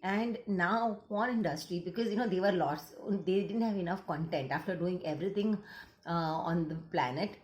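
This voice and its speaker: A woman's voice: fairly low pitch, normal speed, roomy sound, highs cut off. A woman counseling psychologist with an Indian accent, Gujarati her first language, talking earnestly to camera and slipping into Hindi mid-sentence. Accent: Indian English. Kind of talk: casual talk to camera.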